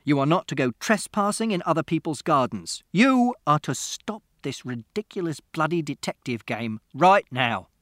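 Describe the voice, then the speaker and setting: A man voicing an angry, short-tempered middle-aged father in a storybook reading who barks his commands loudly. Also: a little high, unhurried, smooth, no room sound, clean studio sound. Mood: furious. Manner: loud, barking commands.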